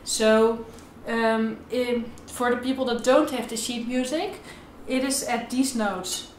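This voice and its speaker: Young woman violin teacher explaining stuff to camera, kinda high voice, echoey room, slightly non-native wording. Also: steady tone, slow, over loud music. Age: young adult. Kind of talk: instructional video tutorial, talking to camera. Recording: roomy echo, violin playing at times.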